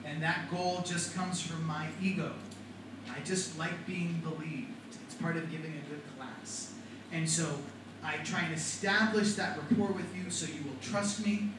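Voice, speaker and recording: A middle-aged man teaching a classroom lecture on rhetoric, lively and asking the class questions. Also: a little high, a little slow. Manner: animated, talks to the class with questions. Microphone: far from the mic. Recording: echoey classroom from far off, noisy.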